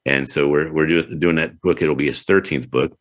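A man's voice: very deep voice, fairly even intonation, moderate pace. A man hosting a casual interview show, chatty and expansive. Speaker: show host.

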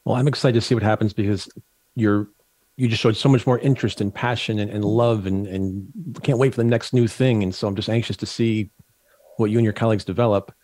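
A male host leads an interview, asking his guest questions in a friendly, conversational manner. His voice is a touch lower than most men's. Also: steady tone, a little fast, a little mellow, no room sound. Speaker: podcast host.

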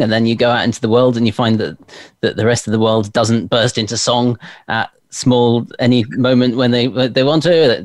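A man's voice: mid-range voice, dry recording, studio quality. A man from London with an English Received Pronunciation accent, chatting anecdotally as an interview guest in his home office. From London, England. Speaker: interview guest, performance coach and performer. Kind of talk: conversational interview answers.

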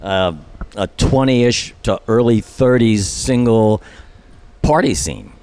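A man's voice: lowish voice, lively intonation, takes it slowly, darkish tone. A man in his seventies, a guest on a talk show, reminiscing casually in a rambling way with many restarts and asides. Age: 70s. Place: resort dining room.

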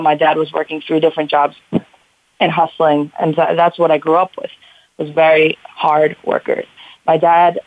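A woman's voice: low-pitched, no room sound. Twenties woman guest on phone line, tinny n dry, chatting through her own story. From Albania and United States. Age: 20s. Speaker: interview guest, entrepreneur. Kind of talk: conversational personal storytelling.

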